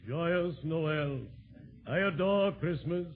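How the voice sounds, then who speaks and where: An actor playing a genteel, ghoulishly cheerful undertaker with a formal, drawn-out deadpan delivery in 1940s radio comedy style. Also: male voice, on the high side. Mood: mournfully jovial. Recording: noisy, narrowband 1940s radio recording.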